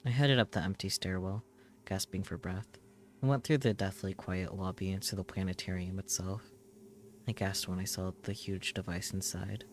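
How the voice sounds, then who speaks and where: A man with a low voice narrates a visual novel in the first person. He reads solemnly in short, measured phrases, with faint noise and ambience behind him. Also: fairly even intonation. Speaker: streamer reading a visual novel aloud.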